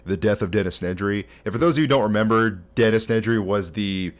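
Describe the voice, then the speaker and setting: A man's voice: on the low side, a little fast. A young man in his twenties hosting a casual, conversational video essay, talking informally through scripted commentary. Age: 20s. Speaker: host.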